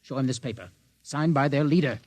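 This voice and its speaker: A man's voice: medium pitch, fairly quick, a little mellow, natural voice. An actor playing a determined young man branded a heretic aboard a ship, urgently pleading in a 1950s radio drama.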